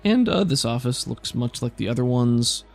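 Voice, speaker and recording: Man narrating a tabletop game, creaky voice. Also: medium pitch, lively intonation, normal speed, darkish tone, soft background music. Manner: hesitant, conversational narrating. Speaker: game master.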